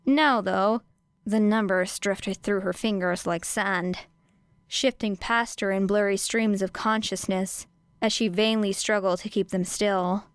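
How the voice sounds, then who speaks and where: A woman narrates fiction in a medium-pitched voice with a smooth, clean tone. She reads from a script as an audiobook narrator, close to the mic in a dry space. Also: fairly even intonation, a little slow, dry recording. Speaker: audiobook reader.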